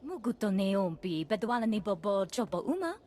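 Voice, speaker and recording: An actress voicing an alien who speaks a rhythmic invented tongue in a video game. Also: female voice, medium pitch, sing-song, a little fast, background noise, natural voice. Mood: businesslike.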